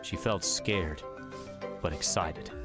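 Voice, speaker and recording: Deep-voiced male audiobook narrator. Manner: measured, steady storytelling. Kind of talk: scripted narration. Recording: music bed under the voice.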